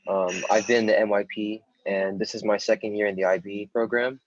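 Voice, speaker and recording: A teenage boy from California introducing himself on a student panel, halting at first. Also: on the low side, level tone, no echo. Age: teens. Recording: dry, dull lo-fi call audio.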